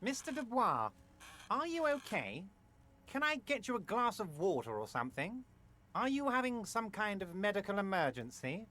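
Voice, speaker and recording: A man's voice: high-pitched, sing-song, moderate pace, bright, forceful tone, heavily acted. An actor voicing a pompous, patronizing middle-aged male union boss in a video game, theatrical and syrupy. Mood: concerned.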